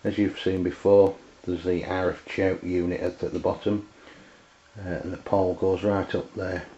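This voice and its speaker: A man's voice: deep voice, flat delivery, mellow. A man with an English accent giving an unscripted, hesitant product demonstration in plain words, recorded on a dull lo-fi camera microphone. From England. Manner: hesitant, halting, plain-spoken. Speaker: amateur radio reviewer.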